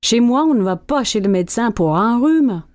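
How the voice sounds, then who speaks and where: A woman's voice: a little high, animated, moderate pace, darkish tone, no echo. A woman acting out a scripted dialogue for learners, asking questions in a curious way.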